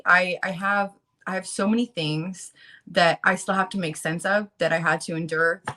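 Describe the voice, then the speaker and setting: A woman in her late twenties who just woke up, talking earnestly and haltingly, with many ums and you-knows, partly reading resources aloud. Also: on the low side, steady tone, pushed and bright. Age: late 20s. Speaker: guest speaker on a channel about fighting child protective services.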